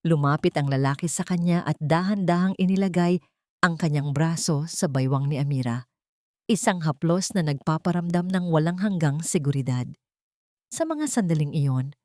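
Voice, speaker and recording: A woman narrating a scripted dramatic story in a sentimental, deliberate way. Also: lowish voice, a little mellow, dry recording, studio quality.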